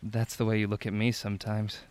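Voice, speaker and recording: A man's voice: fairly low pitch, monotone, brisk, a little mellow, close to an everyday voice. An actor playing a playful, flirty man on a road trip, with a teasing, arch manner in an audio drama. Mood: tender, flirty.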